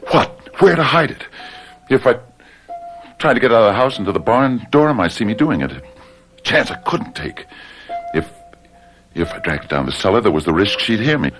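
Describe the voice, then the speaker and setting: An actor playing a guilt-ridden, anxious murderer in old-time radio drama style, halting and stammering as he repeats himself. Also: lowish voice, sing-song, fairly bright tone, soft background music. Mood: tense, confessional. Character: guilt-ridden anxious man who has committed murder, confessing and faltering.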